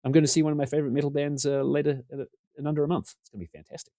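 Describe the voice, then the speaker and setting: Talkative New man, low and mellow. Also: fairly even intonation, rapid-fire, no room sound, clean studio sound. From New Zealand. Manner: talkative, rambling, self-deprecating. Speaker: podcast guest. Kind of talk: casual conversation. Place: home room.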